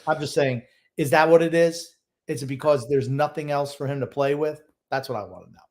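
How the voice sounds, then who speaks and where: A man's voice: on the high side, steady tone, a little fast, a little mellow. An older man co-hosting a sports podcast, giving opinionated, sardonic takes in a casual, grumbling way.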